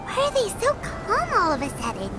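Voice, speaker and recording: A woman voicing a tiny, excitable fairy girl companion in a video game, squeaky, childlike, inquisitive and expressive. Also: a little fast, music in the background, full character voice. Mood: urgent, puzzled. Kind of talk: video game character acting.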